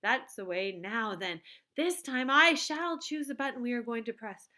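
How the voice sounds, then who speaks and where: A woman voicing an excitable, eccentric male candy maker who gushes and exclaims theatrically. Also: fairly high pitch, normal speed, pitched above the performer's own voice. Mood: gushing.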